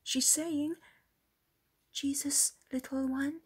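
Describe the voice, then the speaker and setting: Woman voicing an awestruck young shepherd boy, high and hushed. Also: steady tone, takes it slowly. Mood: awed, hushed. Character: timid, awestruck shepherd boy peering into a stable.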